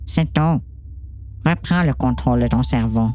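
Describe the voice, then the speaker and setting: A woman playing a calm, patient doctor in an amateur audio drama, speaking in a measured, reassuring way. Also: a bass voice. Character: calm, patient doctor coaching a troubled girl.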